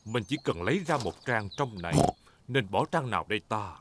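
Man voicing a lively, jolly father pig. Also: lowish voice, a little fast, heavy background noise, natural voice. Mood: good-humoured. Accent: southern Vietnamese. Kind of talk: children's cartoon dub. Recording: music behind the voice.

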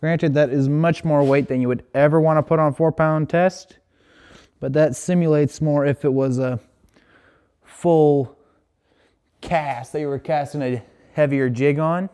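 Young man, DIY vlogger, higher-than-average voice, garage echo, far camera. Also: steady tone, unhurried, pauses often, warm tone. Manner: plain, thinking aloud while working. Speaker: DIY tester. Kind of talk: casual workshop vlog. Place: garage workshop. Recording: echoey garage room.

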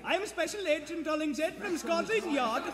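An actor playing an earnest, excitable Scotland Yard detective with urgent, declamatory delivery in a stage radio play. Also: male voice, extremely high pitch, moderate pace, a loud crowd. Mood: alarmed, pleading. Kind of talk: 1940s radio play acting. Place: theater stage in front of an audience. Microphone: standing stage microphone. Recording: live theater stage, long reverb, music behind.

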